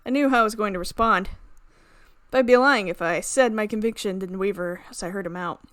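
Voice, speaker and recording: A woman doing expressive let's play commentary, mixing dramatic reading of a visual novel with casual, reactive asides. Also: medium pitch, fairly quick, dry recording, studio quality. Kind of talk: game commentary mixed with dramatic read-aloud. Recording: music playing behind the voice.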